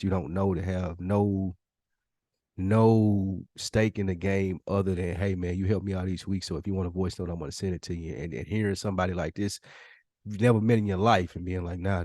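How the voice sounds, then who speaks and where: A man's voice: low voice, mellow, dry recording, studio quality. An American man chatting casually as a podcast co-host, emphatic and given to repeating his phrases.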